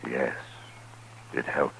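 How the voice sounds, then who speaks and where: An actor playing a dignified, stoic chief in a 1950s radio western, speaking formally and sparingly. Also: male voice, extremely slow, fairly bright tone. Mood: restrained grief. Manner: solemn, formal, sparing with words. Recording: faintly noisy 1950s radio broadcast.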